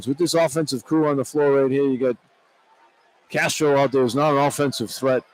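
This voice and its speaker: A man's voice: medium pitch, steady tone, fairly quick, a little mellow, no echo, low-quality recording. An American man giving informal, conversational color commentary on a radio basketball broadcast. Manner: informal, colloquial. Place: courtside in a large indoor arena. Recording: radio broadcast with clear arena noise. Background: arena noise.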